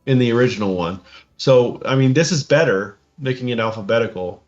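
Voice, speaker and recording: A man co-hosting a podcast in casual chat, speaking haltingly and often checking for agreement. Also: medium pitch, darkish tone, faint music behind. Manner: halting, seeks agreement with tags. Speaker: podcast co-host giving reactions. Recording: slightly dull, lo-fi audio.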